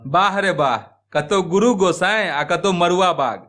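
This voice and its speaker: A man's voice: high-pitched, unhurried. A man voicing an impatient, easily irritated village husband in a cartoon comedy, scolding and sarcastic. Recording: music behind the voice.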